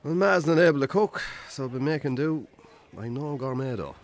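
An actor in a radio drama playing a weary, sardonic Irish farmer with a dry, colloquial, sweary way of talking. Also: male voice, mid-range voice, a little fast, lightly acted. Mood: bitter, sardonic. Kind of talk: naturalistic radio drama acting.

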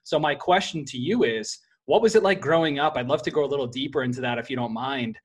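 A man's voice: mid-range voice, fast talker, slightly rough. A man hosting a podcast interview, casual and enthusiastic, calling his guest "man" and "bro". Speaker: podcast host.

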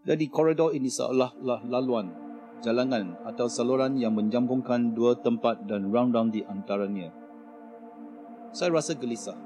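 A man's voice: medium pitch, fairly quick, music in the background, lightly acted. A man in a radio play playing an anxious migrant worker, speaking in an earnest, poetic recital. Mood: uneasy, solemn. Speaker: corridor inhabitant.

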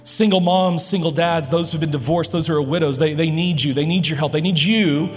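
A man preaching a sermon to his congregation, earnest and emphatic, with dramatic pauses. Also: a little high, long reverb tail, faint music behind. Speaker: pastor. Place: large church hall.

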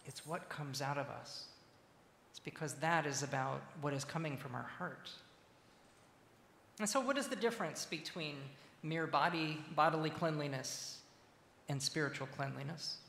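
A man preaching a sermon as a church minister, speaking in measured, deliberate phrases with pauses. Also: a little high, a bit bright. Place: large reverberant church interior. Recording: echoey, reverberant hall with faint noise.

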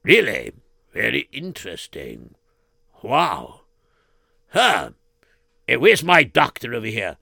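A pompous middle-aged king who talks in a stately way yet keeps rambling and hesitating, as a man puts him on. The voice is gravelly and bright with lively pitch, in a dry, close sound. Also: slow, pauses often, dry recording, full character voice. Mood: musing.

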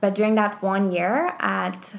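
A woman's voice: medium pitch, measured pace, gravelly. Young French-Canadian woman, podcast guest, chatty and rambling, plain mid-range voice. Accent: Québécois French. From Quebec, Canada. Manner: chatty, many "like" fillers, storytelling. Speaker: clothing designer, guest. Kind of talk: conversational interview answers.

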